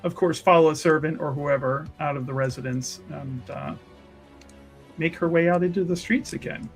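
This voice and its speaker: A man's voice: on the high side, fairly even intonation, normal speed, vocal fry, soft background music. A man narrating and chatting casually during a tabletop role-playing game.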